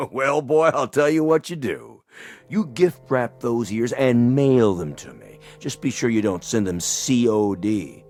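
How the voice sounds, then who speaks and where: A man's voice: medium pitch, animated, slow, a little mellow, soft background music. An actor playing a condescending, sardonic, wealthy older man in a television drama, talking in a mocking and patronizing way. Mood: amused, mocking.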